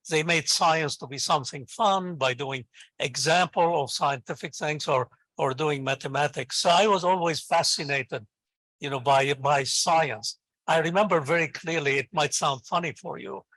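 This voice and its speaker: Bright-voiced older Lebanese man. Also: on the high side, moderate pace, no room sound. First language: Arabic. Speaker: invited guest, professor and scientist. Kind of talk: conversational interview answer, storytelling.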